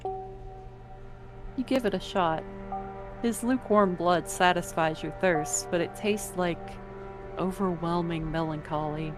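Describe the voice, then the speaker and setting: Lowish-voiced woman narrating over music. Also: slow, pauses often. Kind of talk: scripted second-person story narration. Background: music under the voice.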